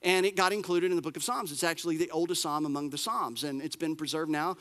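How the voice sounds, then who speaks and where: Bright-voiced preacher in his forties. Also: on the high side, quick pace, in a large hall. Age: 40s. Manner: lively, emphatic preaching with repetition and asides. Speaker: pastor. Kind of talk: sermon.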